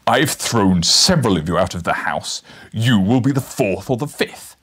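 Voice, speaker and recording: A man voicing a huge, bullish, bombastic professor in a booming, menacing manner for an audiobook. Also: animated, fairly bright tone, breathy edge. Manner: booming, slow, menacing, theatrical. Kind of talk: dramatic audiobook character reading.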